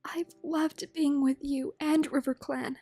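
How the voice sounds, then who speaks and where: A woman reading aloud and voicing a gentle young female cat who is dying, speaking softly and faintly. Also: high-pitched, steady tone, slow, dry recording. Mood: tender faint. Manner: soft, faint and breathy.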